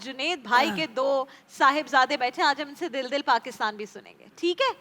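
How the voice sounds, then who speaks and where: A woman's voice: high voice, fairly quick, bright, forceful tone, in a large hall. A woman with a Pakistani accent hosting a television show, chatting playfully and unscripted with children, teasing and encouraging them. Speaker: TV show host. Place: TV studio.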